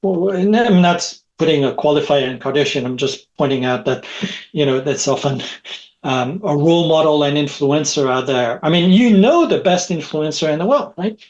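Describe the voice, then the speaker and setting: A man's voice: on the high side, expressive, normal speed, darkish tone, dull audio. Middle-aged French-native man, rambling guest. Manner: rambling, repeats words, frequent rhetorical "right?". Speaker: guest, technology expert. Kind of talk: conversational podcast interview. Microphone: video call.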